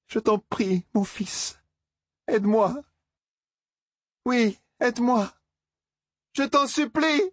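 A man voicing a tormented mother who pleads with her son, slow and tearful. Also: a little high, a little mellow, slightly rough, no room sound, studio quality, pitched above the performer's own voice.

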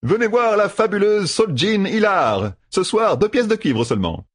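Fantasy audiobook rogue, played by a man: young, cocky, mocking, animated delivery, pitched well up. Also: moderate pace, dry recording, clean studio sound. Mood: gleeful teasing. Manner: glib, exclamatory, mocking banter. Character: cocky, grinning young rogue gambler, teasing and brash. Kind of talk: audiobook fantasy character dialogue.

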